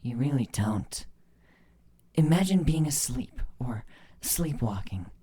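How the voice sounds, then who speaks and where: A man voicing a stern, cool changeling mother in a storybook reading, speaking in a firm, measured, commanding way. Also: mid-range voice, lively intonation, mellow, breathy edge. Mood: grave, cautioning.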